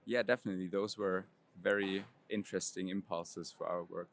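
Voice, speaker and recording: Deep-voiced man, measured. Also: fairly even intonation, gravelly, a little hiss or hum. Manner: measured, precise, with frequent "um". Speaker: immunology professor. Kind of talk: interview answers. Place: convention center floor.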